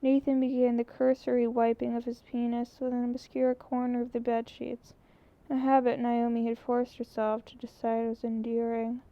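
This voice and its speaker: Gravelly woman reading a novel aloud. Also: on the high side, completely flat delivery, normal speed, hardly any pauses, no echo. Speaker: audiobook narrator. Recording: muffled lo-fi recording.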